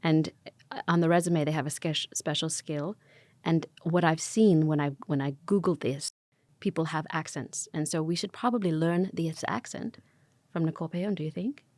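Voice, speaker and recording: Gushing woman co-host, low voice. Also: moderate pace, a little mellow, dry recording, clean studio sound. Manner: gushing, earnest, stumbling over words. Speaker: podcast co-host. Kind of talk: improvised comedy chat.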